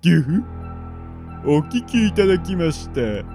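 A man playing a creepy, leering obsessive fan in an amateur radio drama, with a slow, unctuous delivery. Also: on the high side, very animated, warm tone, faint music behind. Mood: creepy, gloating.

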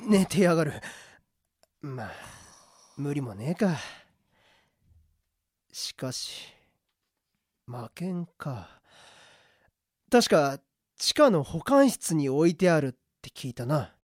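A man acting a gruff but kind-hearted prison guard in an amateur radio drama, with blunt, slangy talk. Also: fairly high pitch, sing-song, slow, long pauses, a little mellow, no echo, studio quality, exaggerated voice. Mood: grumbling.

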